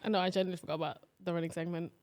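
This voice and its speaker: A woman's voice: fairly low pitch, fairly even intonation, rapid-fire. A young woman co-hosting a casual podcast chat, teasing her friend and laughing often. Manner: laughs a lot, playful teasing. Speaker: podcast co-host.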